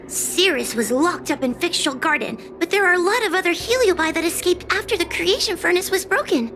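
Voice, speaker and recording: A woman's voice: extremely high pitch, a bit bright, slight background noise. A woman voicing a timid, anxious young girl in an anime game, hesitant and stammering but eager to please. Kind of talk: anime game dialogue acting. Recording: music behind the voice.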